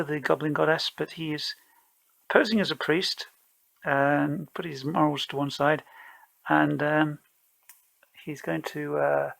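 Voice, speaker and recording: A man's voice: on the high side, gravelly, pushed and bright, low-quality recording. A man improvising narration for a solo tabletop role-playing game, hesitantly thinking aloud as he mulls his options.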